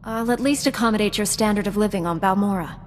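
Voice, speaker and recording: Actress as composed young heroine, long reverb. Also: female voice, medium pitch, a little hiss or hum, lightly acted. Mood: composed, dry. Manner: composed, measured, dryly teasing. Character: composed, wryly teasing female Jedi Knight hero. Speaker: video game player character. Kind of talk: video game voice acting. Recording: long hall reverb, game music underneath.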